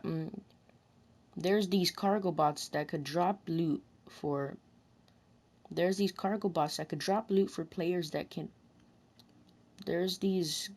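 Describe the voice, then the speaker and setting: A woman with a slightly low voice narrates video game commentary. She reads it as scripted voice-over, deliberate in her delivery, and tends to restart and reword her sentences. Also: a little mellow. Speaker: narrator recording voice lines about a video game.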